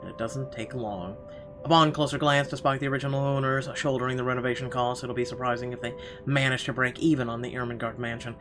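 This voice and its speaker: A man narrating a visual novel as a let's player, reading aloud a little haltingly with casual asides. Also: medium pitch, fairly even intonation. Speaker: gamer reading the story aloud. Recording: game music clearly behind the voice.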